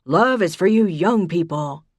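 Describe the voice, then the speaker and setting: A woman's voice: on the low side, expressive, no echo. Teasing old grandpa, stubborn and sly, played by a woman narrator, in a dry, close read. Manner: defiant, impish, drawn-out words. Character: elderly grandfather, teasing and contrary.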